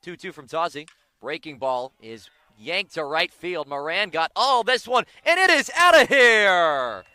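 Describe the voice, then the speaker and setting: An American man doing live play-by-play baseball commentary on the radio, with excited calls on big plays. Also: high voice, a little slow, clean tone, dry recording.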